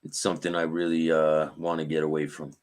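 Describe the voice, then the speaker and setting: Raspy-voiced man hosting a live stream. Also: rumbling low, little pitch movement, a little fast. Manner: reads news aloud, then greets viewers by name. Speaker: host. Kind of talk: live-stream news commentary and chat with viewers.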